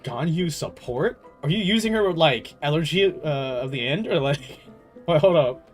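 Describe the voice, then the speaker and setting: A man with a slightly high voice talks casually as he streams a game. He thinks aloud in slangy, stop-start bursts and swears now and then. Game music plays faintly behind him. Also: animated, normal speed. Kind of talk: casual livestream chat, rambling gaming commentary.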